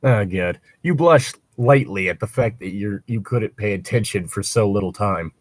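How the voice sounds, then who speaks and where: A man's voice: lowish voice, moderate pace, dry recording. A man reading fan fiction aloud with casual, joking banter and digressive commentary. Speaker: co-reader and commentator.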